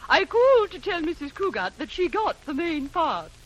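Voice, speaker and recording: A prim, formal lady director in a vintage radio comedy. Her voice sits high and rises and falls a lot. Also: big pitch swings, moderate pace, poor audio. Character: prim middle-aged woman theatre director. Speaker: actress playing a play director. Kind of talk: 1940s radio comedy acting.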